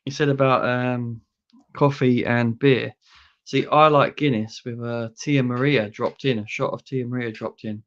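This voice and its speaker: A man co-hosting a live tech podcast, relaxed, making brief replies and laughing easily. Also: medium pitch, a little slow, muffled recording. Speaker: podcast co-host. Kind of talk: casual live-stream chat.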